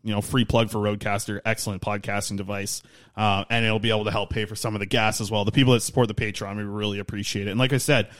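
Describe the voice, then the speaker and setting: A man's voice: fairly low pitch, flat delivery. A man hosting a podcast, rambling eagerly in casual chat. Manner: fast, eager, rambling plugs. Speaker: podcast host.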